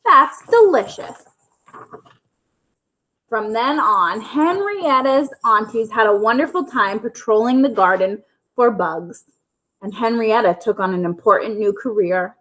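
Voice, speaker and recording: A woman reading a children's storybook aloud in an expressive narrating style, as at a school story time. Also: fairly high pitch, unhurried, poor audio.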